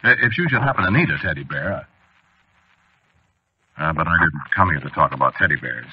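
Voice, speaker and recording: An actor playing an anxious, haunted man confiding his obsession haltingly in a 1940s radio drama. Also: a bass voice, lively intonation, breathy edge, full character voice. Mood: uneasy confiding. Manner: hesitant, rambling, halting confiding delivery. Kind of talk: 1940s radio drama acting, confessional narration. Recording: dull, noisy old radio recording.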